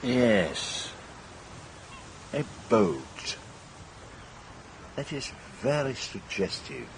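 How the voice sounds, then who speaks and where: A shrewd detective in a radio mystery play. He thinks aloud with precise, measured phrasing and a very mobile intonation, over faint background noise. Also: medium pitch, big pitch swings, exaggerated voice. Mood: musing, deliberate. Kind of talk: radio mystery drama acting.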